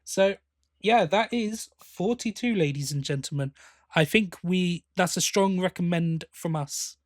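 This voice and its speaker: A man's voice: high voice, measured pace, no room sound, studio quality. A man co-hosting a podcast in casual review chat, often hesitating and correcting himself as he goes. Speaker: podcast co-host.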